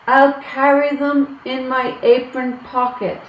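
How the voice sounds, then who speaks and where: A woman's voice: sits high, level tone, slow pace, dull audio. A woman acting a timid, anxious young Irish woman in a play reading, hesitant and plaintive, recorded in an echoey room. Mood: wistful, pleading.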